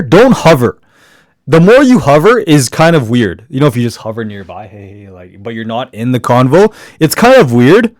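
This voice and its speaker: A man talks in a deep, loud voice that comes from the diaphragm and sits a little higher than usual for a man. He gives casual, encouraging advice, full of fillers and restarts. The recording is dry and close, with no room sound. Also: dry recording. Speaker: podcast speaker giving networking advice. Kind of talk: motivational talk.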